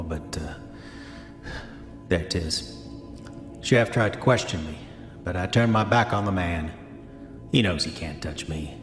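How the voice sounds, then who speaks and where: Deep-voiced, roguish old-time gold prospector. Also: male voice. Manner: formal old-fashioned diction, deliberate, self-justifying. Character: roguish, haunted gold prospector and womanizer, reading his old diary. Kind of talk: dramatic first-person diary monologue in a horror audio drama. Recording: echoey hall reverb, music underneath.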